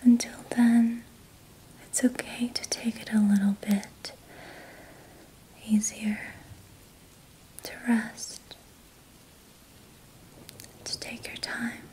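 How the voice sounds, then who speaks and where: A young woman whispering gently and reassuringly in a guided ASMR relaxation, leaving long pauses. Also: a little high, level tone, rough recording. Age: young adult. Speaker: ASMR host doing a healing roleplay. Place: small indoor room at night.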